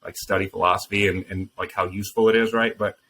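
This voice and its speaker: A man talking earnestly and thoughtfully as a founder guest in an interview, pausing with frequent ums. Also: sits low, little pitch movement, fairly bright tone, a little hiss or hum. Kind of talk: casual interview answers.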